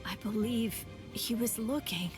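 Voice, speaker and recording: A woman voicing a stern, composed female spirit warrior in an anime game dub, with formal, measured and commanding delivery. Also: a little high, natural voice. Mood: grave, measured. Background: background music.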